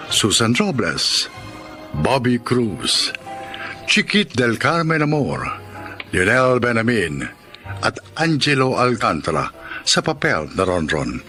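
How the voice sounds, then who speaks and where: Bright, slow-speaking Filipino male announcer. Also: medium pitch, big pitch swings, soft background music. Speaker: radio drama host and announcer. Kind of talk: scripted dramatic narration.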